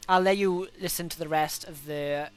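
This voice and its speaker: A young man with a Welsh accent and a fairly high, smooth, clear voice talks through his racing laps. He has a cold coming on. He chats loosely, with frequent ums and quick self-corrections. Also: steady tone. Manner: chatty, self-correcting, many fillers. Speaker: gaming video host. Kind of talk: casual gameplay commentary.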